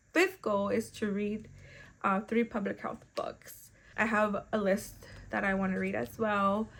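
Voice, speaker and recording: Casting: young female book vlogger, native Spanish speaker, rambling and chatty, a bit of vocal fry. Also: mid-range voice. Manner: chatty, rambling, self-correcting. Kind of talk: casual chat to camera.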